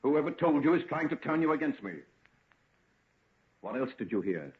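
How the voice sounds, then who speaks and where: An actor playing a tormented, brooding gentleman scientist in an intense, melodramatic golden age radio drama style. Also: on the high side, big pitch swings, normal speed. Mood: agitated, suspicious. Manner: intense, melodramatic, grandiose phrasing. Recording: tinny band-limited vintage radio recording.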